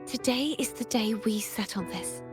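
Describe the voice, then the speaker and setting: High-voiced earnest young game heroine played by a woman. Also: moderate pace, close to an everyday voice. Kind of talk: scripted video game acting. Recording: distant game audio under music. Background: video game music.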